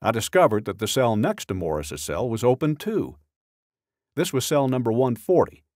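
A man reading a scripted true-crime audiobook in a measured, dramatic storytelling way, close to a studio microphone. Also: mid-range voice, sing-song, a little mellow, dry recording. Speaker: audiobook narrator.

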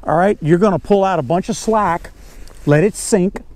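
A man's voice: sits high, moderate pace, a little mellow, no echo. An older man with a Southern US accent giving an informal, folksy fishing lesson as a guide, repeating key words for emphasis. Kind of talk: informal instructional talk. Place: outdoors on a bass boat on a lake.

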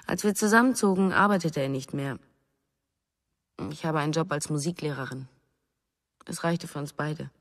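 A grieving young mother in a radio play. Her voice is low and restrained, pensive in short phrases, with a long reverb around it. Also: moderate pace, pauses often. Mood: subdued, defensive. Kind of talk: German radio drama acting.

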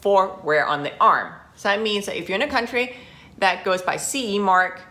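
Bright-voiced chatty woman from Denmark. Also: mid-range voice, moderate pace. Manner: friendly, chatty, animated with hand gestures. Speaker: guest, founder of a website. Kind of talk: casual talk to camera. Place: living room at home.

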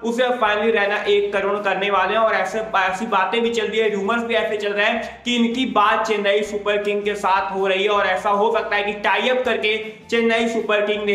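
A young man presenting an energetic cricket news roundup to camera, gesturing with his hands and repeatedly calling out to his viewers. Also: high voice. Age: young adult. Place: small indoor room with plain wall. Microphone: clip-on lapel mic. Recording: roomy echo.

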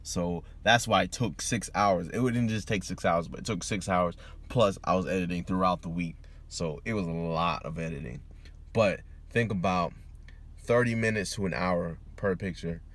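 A man's voice: low-pitched, moderate pace, fairly bright tone. A man in his thirties chatting casually as a vlogger, recorded on a phone held at arm's length. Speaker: vlogger, photographer. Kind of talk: casual vlog chat. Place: outdoors and inside a car. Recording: noisy street and car ambience.

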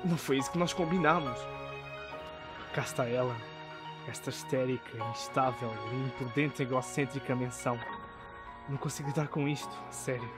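A man plays a sardonic anime teenager, cool and blunt. He speaks European Portuguese at a middling male pitch, with loud music behind him. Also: steady tone, normal speed, lightly acted. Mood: sardonic, annoyed. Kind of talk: anime fan dub acting.

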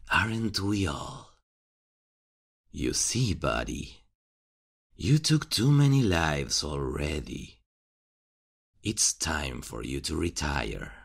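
A man voicing a calm, mocking vigilante killer who speaks slowly and with quiet menace in an ASMR horror roleplay. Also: extremely low pitch, creaky, husky. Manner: slow, teasing, quietly menacing.

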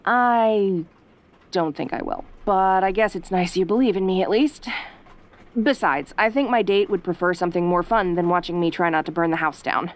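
A woman playing a sassy, easily flustered young tomboy girlfriend, sarcastic and haltingly defensive, in an audio roleplay. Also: on the low side, natural voice. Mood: sheepish, wry.

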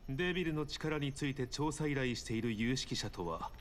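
An actor playing a composed male strategist who reports in a measured, serious tone in a game cutscene. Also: medium pitch, expressive, slight background noise, natural voice.

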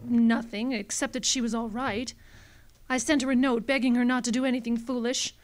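A woman's voice: a little high, fairly even intonation, normal speed, lightly acted. Woman acting an anxious, pleading young female client. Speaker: actor. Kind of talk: hard-boiled radio drama acting.

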